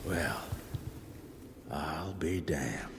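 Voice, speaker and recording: Deep-voiced, gruff cowboy monster hunter. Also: male voice, drawn-out words, heavy background noise, exaggerated voice. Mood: dry. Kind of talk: video game cutscene acting. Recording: loud music and effects behind.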